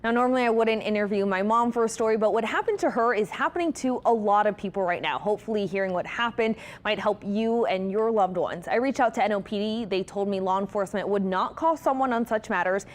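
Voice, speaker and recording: A woman's voice: mid-range voice, quick pace, few pauses. A woman working as a TV news reporter, delivering a scripted report.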